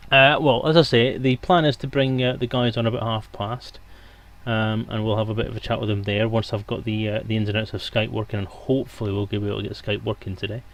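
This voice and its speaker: A man's voice: on the low side, flat delivery, fast talker. Man, livestream host, informal chat, joking, digressive, headset microphone. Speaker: live stream host. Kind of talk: casual rambling livestream chat to viewers.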